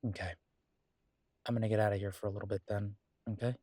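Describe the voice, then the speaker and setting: A man's voice: on the low side, little pitch movement, fast talker, warm tone, no room sound, studio quality, lightly acted. A man playing a caring, easygoing werewolf pack leader in an audio roleplay, talking in a casual, conversational way with trailing phrases. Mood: tender, relaxed.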